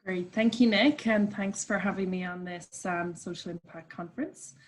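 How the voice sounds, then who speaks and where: A woman with an Irish accent giving reflective, explanatory answers as a guest in an interview, pausing as she phrases her thoughts. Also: on the low side, rough recording. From Ireland. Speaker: interview guest, coach at a business charity.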